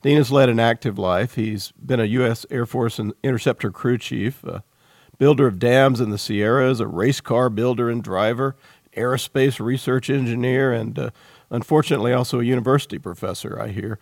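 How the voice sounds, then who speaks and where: A man's voice: mid-range voice, brisk, gravelly, a little mellow, dry recording, studio quality. A man hosting a podcast, reading introductions and then asking questions in a friendly, easy way. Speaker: podcast host. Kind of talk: scripted intro then casual interview.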